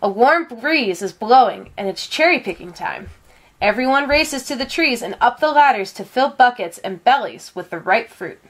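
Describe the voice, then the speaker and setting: Woman reading a kids storybook out loud, kinda high voice, expressive storytelling. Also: moderate pace, a little hiss or hum, low-quality recording. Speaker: read-aloud reader. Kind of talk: expressive storybook read-aloud.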